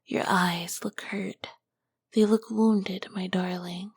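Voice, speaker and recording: A woman's voice: mid-range voice, fairly even intonation, creaky, breathy edge, no room sound, exaggerated voice. A woman voicing an ancient, nurturing succubus queen in an ASMR roleplay, whispering breathily and soothingly with tender endearments. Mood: tender concern. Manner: slow, soothing, doting endearments.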